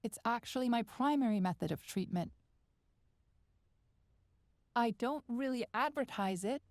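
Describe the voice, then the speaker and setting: A woman playing a calm, quietly controlling therapist and hypnotist in a measured, coaxing audio roleplay with deliberate pauses. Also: fairly high pitch, no room sound, clean studio sound. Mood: patient, reassuring. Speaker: therapist character.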